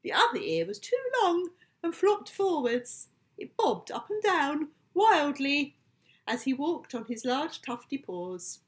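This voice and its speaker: A woman reading a children's story aloud in an expressive, playful storytelling manner. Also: high-pitched, slow. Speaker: author reading her book.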